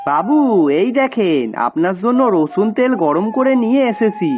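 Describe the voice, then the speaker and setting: Woman voicing an eager, lively young servant lad. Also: medium pitch, moderate pace, soft background music, natural voice. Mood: eager, caring. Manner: fussing, animated, rustic dialect speech. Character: devoted, chatty young male servant Pocha, rustic and eager. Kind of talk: cartoon voice acting.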